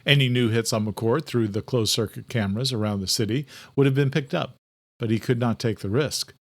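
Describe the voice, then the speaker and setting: A man giving a steady, scripted narration of a horror audiobook. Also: medium pitch, fairly quick, dry recording.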